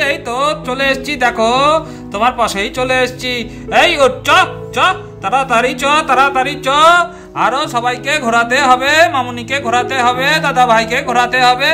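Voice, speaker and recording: Man acting a very high-pitched, eager village camel driver. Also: fairly even intonation, moderate pace, music in the background. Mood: reassuring, urging. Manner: repeats short calls and urgings. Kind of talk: amateur doll-story voice acting.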